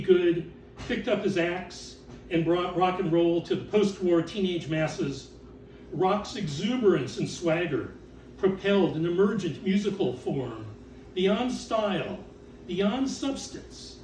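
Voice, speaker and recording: A man reciting written text as author and curator, in a theatrical, deliberate way with drawn-out emphasis. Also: high-pitched, darkish tone. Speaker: author and exhibition curator reading aloud. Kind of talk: dramatic recitation of catalog text to an audience. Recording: roomy, distant mic with background noise.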